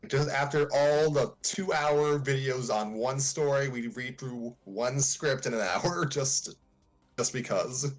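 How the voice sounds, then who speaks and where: A man's voice: mid-range voice, slow, soft background music, low-quality recording. A man co-hosting a livestream in casual, conversational chat. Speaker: co-host of a story-reading livestream.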